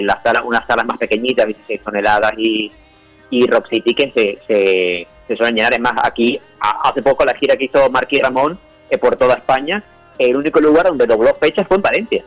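A man from Venezuela, a band founder, speaking as a guest on a podcast. He talks hesitantly, stumbling and restarting his sentences. His pitch is middle, with faint music underneath. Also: fairly bright tone, poor audio. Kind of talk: casual interview over a call.

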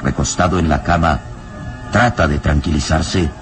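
An actor playing the solemn, suspenseful narrator of a horror radio serial, reading in a dramatic scripted style. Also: male voice, rumbling low, normal speed, music in the background. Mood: solemn, brooding.

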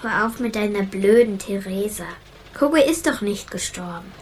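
A woman's voice: mid-range voice, expressive, normal speed, close to an everyday voice. A young female performer playing a defiant girl who answers in short, stubborn retorts in a naturalistic children's radio play. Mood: defiant, wistful. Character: young girl attached to her lost pet bird, defiant. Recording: clear background ambience noise.

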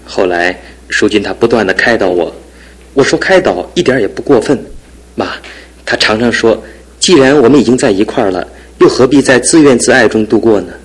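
A man's voice: medium pitch, animated, a little fast, breathy edge, rough recording. An actor playing a young husband recovering from an injury, talking sincerely and gratefully to his mother in a radio drama. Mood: earnest. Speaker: son and husband.

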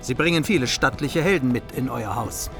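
An actor playing a loyal, formal retainer in a medieval radio drama. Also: male voice, mid-range voice, lively intonation, normal speed, gravelly, music in the background. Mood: assured. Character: loyal, formal retainer vouching for his lords. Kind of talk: radio play acting of medieval epic.

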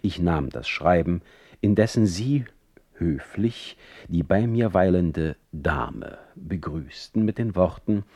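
A man's voice: sits low, expressive, takes it slowly, darkish tone, no echo, full character voice. An actor playing a touchy, ailing older male poet in a radio play, reading his ornate letters with theatrical, ironic precision. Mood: wry, confessional. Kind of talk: dramatic literary letter monologue in a radio play.

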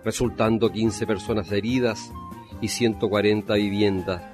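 A man narrating a radio drama in a solemn, measured storytelling manner. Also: fairly low pitch, fairly even intonation, a little mellow. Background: music.

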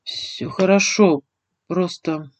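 A woman voicing a troubled teenage boy in a fantasy audiobook, speaking in a subdued, slow and terse way. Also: lowish voice, fairly even intonation, no room sound. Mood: subdued, bitter.

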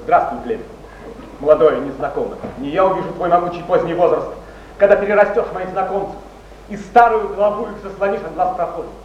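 An ardent poet played by a man declaims in a very high, emphatic voice. The delivery is exalted and theatrical, in a muffled, echoey old stage recording. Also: brisk, slight background noise, lightly acted. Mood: tender, reflective. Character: ardent Russian poet declaiming his verse.

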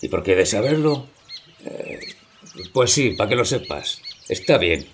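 An actor with a Spanish accent playing a coarse, taunting, short-tempered rural tough in a radio drama. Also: male voice, on the low side, big pitch swings, unhurried, slight background noise. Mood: taunting, defiant. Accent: Spanish, from Spain. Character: sneering rural Spanish tough, provoking and irascible.

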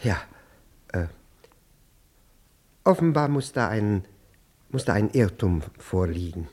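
Fussy nervous middle-aged husband, stammers, husky, voice jumps all over, old radio drama. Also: male voice, on the low side, animated, takes it slowly, a little mellow. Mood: embarrassed. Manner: stammering, fussy, repeats words. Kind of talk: 1960s German radio play acting.

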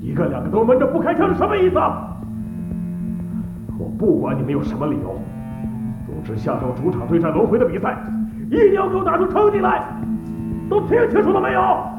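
High-voiced stern club manager. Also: male voice, wildly swooping pitch, brisk, long pauses, phone-quality sound, exaggerated voice. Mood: furious. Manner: emphatic, scolding or formal addresses. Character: stern, hot-tempered esports club manager, a man. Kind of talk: Chinese audio drama acting. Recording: loud music and crowd behind.

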